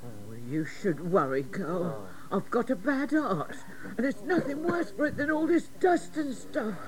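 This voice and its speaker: A woman's voice: mid-range voice, very animated, unhurried, darkish tone, poor audio. A woman in a radio drama playing a complaining, melodramatic older woman. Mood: whining, self-pitying. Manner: whining, melodramatic. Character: complaining, self-pitying older woman with a bad heart.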